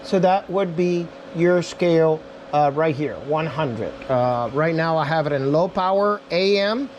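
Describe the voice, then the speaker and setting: A man's voice: on the high side, measured pace, darkish tone, dull audio, slight background noise. A man giving a casual, unscripted product demo in an informal way, talking straight to viewers as their reviewer. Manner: informal, addresses viewers as guys. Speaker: radio reviewer and demonstrator.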